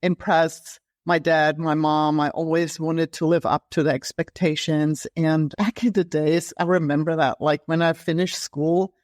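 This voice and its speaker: A woman's voice: low voice, level tone, measured pace, hardly any pauses, darkish tone, dry recording, clean studio sound. A woman from Germany, a health coach telling her story in an interview, talking with many fillers and restarts. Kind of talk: casual interview conversation.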